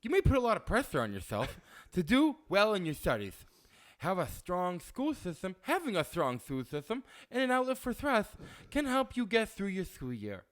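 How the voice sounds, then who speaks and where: A young man with a fairly high voice that swings widely as he banters on a podcast. He reads ad copy and tips with a joking lisp, ready to break into laughter. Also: wildly swooping pitch, normal speed. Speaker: podcast co-host. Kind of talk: casual comedic chat.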